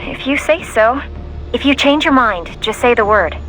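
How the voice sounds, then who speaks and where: An actress playing a young, loyal, idealistic mission support operator in a video game, hesitant yet heartfelt. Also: female voice, fairly high pitch, expressive, moderate pace, a bit bright, faint music behind, low-quality recording. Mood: reassuring.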